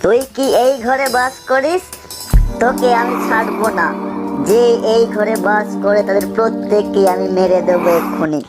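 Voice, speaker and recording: A woman's voice: medium pitch, normal speed, over loud music, close to an everyday voice. A woman voicing a vengeful female serpent spirit in a children's cartoon, with a threatening, declamatory delivery. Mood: vengeful, menacing. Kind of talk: children's cartoon story dialogue.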